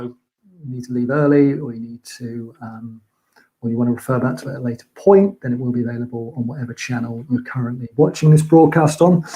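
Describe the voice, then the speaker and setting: A man's voice: fairly high pitch, mellow, low-quality recording. A man introducing an online panel session, informal and chatty with many ums. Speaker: event organiser introducing the session.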